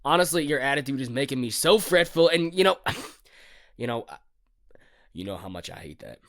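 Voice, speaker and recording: A man's voice: mid-range voice, big pitch swings, moderate pace, no room sound, studio quality. A man playing a self-important, childish American president in a comedy audio drama, pompous and fretful. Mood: fretful, solemn. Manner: pompous, fretful, bursts into shouting.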